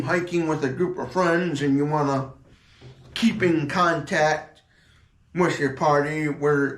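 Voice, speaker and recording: A man giving a casual product demonstration in a halting, repetitive way, explaining as he goes. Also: a little high. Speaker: product reviewer. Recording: echoey room.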